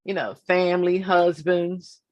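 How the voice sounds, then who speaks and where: Woman host, fifties, low-pitched, deliberate and emphatic, repeats phrases for weight. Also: little pitch movement, lo-fi sound. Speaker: podcast host. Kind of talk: casual interview.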